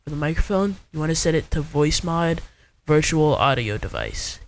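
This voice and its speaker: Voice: mid-range voice, animated, normal speed, slight background noise, low-quality recording. A young adult host giving an informal, step-by-step screen tutorial in a casual way. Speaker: video host.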